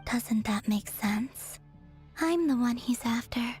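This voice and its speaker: An actress voicing a gentle, solemn young moon goddess in a video game, breathy and calm, with measured, formal speech. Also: female voice, fairly high pitch, soft background music. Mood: resigned. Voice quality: breathy, whispery. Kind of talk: video game character acting.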